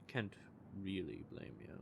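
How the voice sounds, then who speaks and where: A man's voice: deep voice, very animated, takes it slowly, creaky, background noise. A man playing a smug, teasing male vampire who is playfully menacing, in an audio roleplay.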